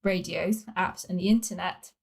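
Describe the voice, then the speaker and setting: A woman's voice: lowish voice, fairly even intonation, moderate pace, gravelly, husky. Woman from Wales reading non-fiction. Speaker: author reading own book. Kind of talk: scripted non-fiction audiobook-style reading.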